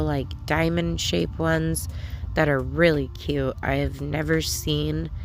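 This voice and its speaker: A young woman with a low, gravelly voice and a stuffy nose from a lingering cold. She chats eagerly through a product haul, leaning on fillers like "like" and "um." Soft music plays faintly underneath. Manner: chatty, enthusiastic, filler-heavy. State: stuffy, getting over a cold. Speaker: nail channel host. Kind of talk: casual haul review to camera.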